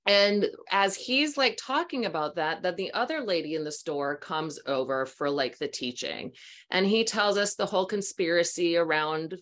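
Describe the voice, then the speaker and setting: A woman's voice: on the low side, normal speed. Chatty woman telling stories at home. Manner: animated, chatty, acts out voices in her stories. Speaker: host, sound healing practitioner. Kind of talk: casual storytelling on a video call. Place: small room at home, indoors. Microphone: video call webcam mic.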